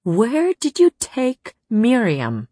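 Undead male warrior villain for fantasy audiobook, performed by a woman: sneering, mocking, lifted pitch, husky. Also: wildly swooping pitch, takes it slowly, mellow, no echo, clean studio sound, heavily acted. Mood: bitter, crooning.

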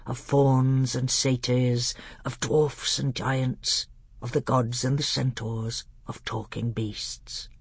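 Reader acting old male tutor, storybook character, hushed, grave, secretive, deliberate, crisp, dry close sound. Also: mid-range voice, no room sound. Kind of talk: audiobook character voice.

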